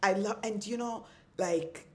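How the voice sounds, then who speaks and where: A young woman from Congo, a fashion designer talking as a guest in a casual podcast interview, animated and full of "like" and "right?" fillers. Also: mid-range voice, unhurried. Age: young adult.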